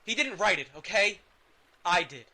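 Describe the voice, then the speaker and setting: A man playing a drained, guilt-ridden vampire in an intimate audio drama, speaking haltingly and defensively. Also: sits high, animated, pushed and bright, breathy edge, heavily acted. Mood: upset, bitter. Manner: halting, defensive, stammering under pressure.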